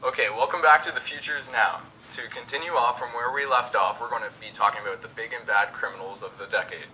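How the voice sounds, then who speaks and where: A man's voice: medium pitch, fairly even intonation, fast talker, pushed and bright, rough recording. A young man reading as co-host of an amateur mock 1920s radio show. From Canada.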